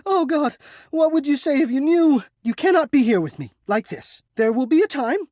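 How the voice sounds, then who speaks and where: A man voicing an anxious, melodramatic, yearning male game character who hides away, with florid, halting, theatrical speech. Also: very high-pitched, lively intonation, a little mellow, no echo, studio quality. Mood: panicked, pleading. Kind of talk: video game character acting.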